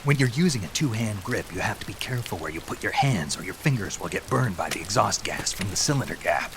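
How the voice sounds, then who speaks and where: A man's voice: lowish voice, expressive, fast talker, noisy background. A man playing a terse, gritty battle-worn soldier on a jungle mission in a dramatic video game. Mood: calm, instructive.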